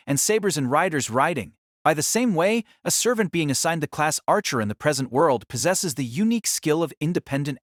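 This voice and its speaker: A man's voice: a little high, fairly bright tone, no echo, studio quality. A man reading scripted dramatic story narration as the narrator of an audio drama.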